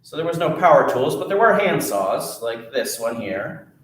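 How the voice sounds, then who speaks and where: A man telling a children's story at a library storytime, animated and playful, chatting with his young listeners. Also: medium pitch, normal speed, echoey room, slight background noise, low-quality recording.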